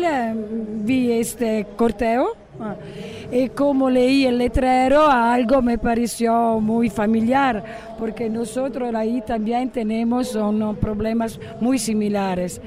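Italian woman speaking slow, hesitant Spanish. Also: on the high side, fairly even intonation, dark tone, soft background music. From Italy. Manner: hesitant, searching for words, many fillers. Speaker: high school teacher. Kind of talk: street interview.

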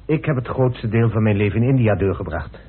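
An actor playing a courteous, grave gentleman telling of a family mystery in a radio play. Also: on the low side, brisk, a little hiss or hum, close to an everyday voice. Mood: solemn, confiding. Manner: polite, solemn, storytelling. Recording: muffled, band-limited old radio recording.

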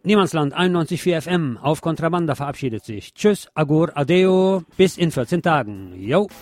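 A man's voice: fairly high pitch, expressive, moderate pace, soft background music. A man hosting a radio programme, presenting and reading aloud in a formal, courteous manner. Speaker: radio show host. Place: radio broadcast.

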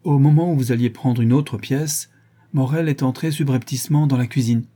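A man voicing a stern, sharp-witted middle-aged woman who questions two servants and accuses one of them. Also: medium pitch, normal speed, breathy edge, natural voice. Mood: probing. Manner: cold, probing, relentlessly accusing.

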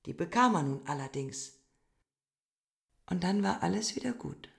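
An actress in a radio drama playing a proud, aloof youngest sister, speaking with cool irony. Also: female voice, on the low side, lively intonation, moderate pace, creaky. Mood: mocking. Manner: ironic yet serious, cool.

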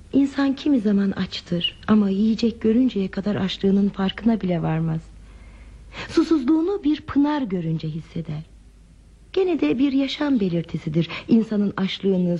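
An actress playing a lonely, reflective wanderer in a radio play, speaking in a measured, wistful, philosophical manner. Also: female voice, medium pitch, animated, warm tone, husky. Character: lonely, reflective wandering woman without belongings. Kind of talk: Turkish radio theater acting. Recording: dull, muffled radio drama recording.

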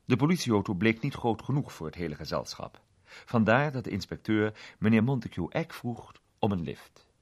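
A male narrator reads a story in a steady, measured way. His voice is low and husky. His intonation rises and falls in a lively way.

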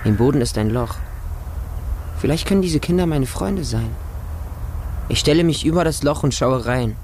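A man's voice: medium pitch, animated, normal speed, a little mellow, slight background noise, close to an everyday voice. A man playing a lonely, naive small homeless boy who narrates his life in a radio drama in short, plain sentences. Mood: lonely, wary.

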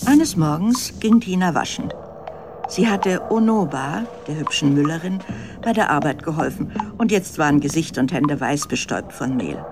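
Low-voiced woman narrating a children's story. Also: lively intonation, normal speed, music in the background. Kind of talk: scripted storytelling for a children's radio drama.

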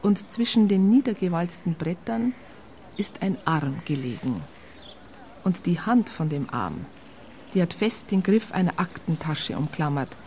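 A Bavarian storyteller in a radio crime play. Her voice sits a little low, and she recounts things colloquially and drily, taking her time. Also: big pitch swings, dark tone, a little hiss or hum. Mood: dry storytelling. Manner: wry, colloquial, unhurried. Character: wry female storyteller recounting police case files. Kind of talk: radio drama narration.

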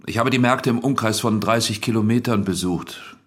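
A man's voice: fairly low pitch, moderate pace, natural voice. An actor playing an accused married tradesman, guarded, terse and uneasy, in an audio drama.

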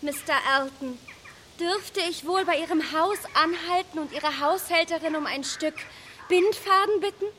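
An actress playing a self-assured young matchmaking gentlewoman in a radio play, with whispered asides and polite, animated speech. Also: very high voice, moderate pace, a little hiss or hum. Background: music.

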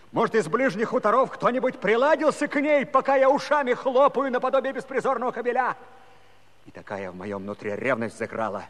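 An actor playing a lovesick, jealous village Cossack in a musical-comedy audio play, telling his tale in a folksy, confiding way with theatrical sighs. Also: fairly high pitch. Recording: long hall reverb.

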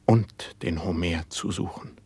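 An actor playing a dreamy young poet in a classical verse radio play, speaking in a lofty, reverent way. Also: male voice, deep voice, unhurried, a little mellow, husky, dry recording, full character voice. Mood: rapt, yearning. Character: dreamy young male poet, rapt and humble.

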